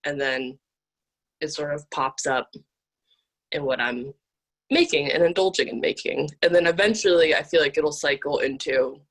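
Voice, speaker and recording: A woman's voice: sits low, normal speed. A woman artist chatting casually in an informal, emphatic way, often saying "like". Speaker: visual artist. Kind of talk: casual chat about art and work.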